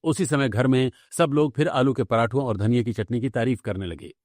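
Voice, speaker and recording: A man narrates a family cartoon tale in scripted storytelling style. His voice sits at a middle pitch, with music playing faintly behind him. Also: fairly quick, darkish tone.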